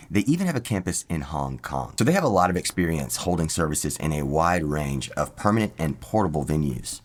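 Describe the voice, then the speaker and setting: A man hosting a product review video, presenting scripted narration to the viewer. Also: very deep voice. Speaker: video host.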